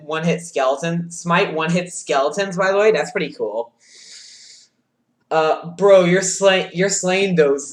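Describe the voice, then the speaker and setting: A man streaming a game live, reacting excitably with yelps and shouts, keyboard clicking behind him. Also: fairly high pitch, reverberant. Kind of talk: live gameplay commentary. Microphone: desk mic with audible keyboard.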